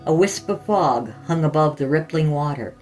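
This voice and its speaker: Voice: mid-range voice, lively intonation, moderate pace, a little mellow. Narrator reading fiction over music. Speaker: podcast host and story reader.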